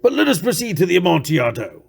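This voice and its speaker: A man's voice: high-pitched, sing-song, fast, gravelly, breathy edge, full character voice. Man acting a pompous, tipsy, exclamatory nobleman. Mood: incredulous, scornful. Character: tipsy, boastful, gullible Italian nobleman, jingling jester.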